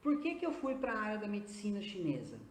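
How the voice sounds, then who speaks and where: A man's voice: high-pitched, huge pitch swings, brisk. Earnest Brazilian man in his fifties, roomy sound. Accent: Brazilian Portuguese. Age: 50s. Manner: earnest, many hesitant "é" fillers. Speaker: guest, nurse and acupuncturist. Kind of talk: live interview answers. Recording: roomy echo.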